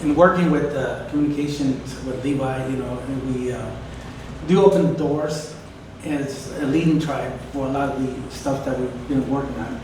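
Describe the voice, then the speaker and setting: Halting man in a very echoey room. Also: mid-range voice, fairly even intonation. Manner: halting, with many hesitations. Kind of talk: press conference remarks. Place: press conference room. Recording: echoey room, distant mic, background noise.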